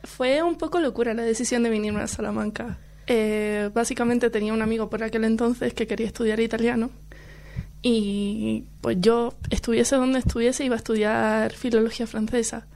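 A woman's voice: a little high, steady tone, moderate pace, gravelly, a little mellow. A young woman from Chile, a university student, chatting as a guest on a studio radio show, laughing often between fillers. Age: young adult. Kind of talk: casual radio interview. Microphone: studio headphones and mic.

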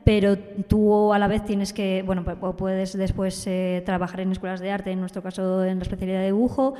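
A young woman speaking as an interview guest, explaining things in a hesitant, halting way. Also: mid-range voice, flat delivery, warm tone. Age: young adult. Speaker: student teacher, interview guest. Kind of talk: casual spoken interview. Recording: long hall-like reverb.

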